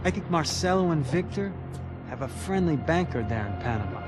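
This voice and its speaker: Actor as higher-voiced, sardonic, probing male cop. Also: expressive, fairly quick, over music, close to an everyday voice. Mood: wry, explanatory. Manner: probing, sardonic, conversational, pointed. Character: shrewd, sardonic policeman pressing and needling a suspect. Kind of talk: video game cutscene acting. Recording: loud car and street noise behind.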